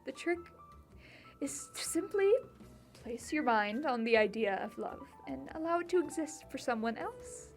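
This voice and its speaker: A woman's voice: high-pitched, expressive. Woman acting a haughty, disapproving upper-class mother. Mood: wistful, controlled. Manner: condescending, deliberate. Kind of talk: dramatic monologue. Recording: music bed behind voice.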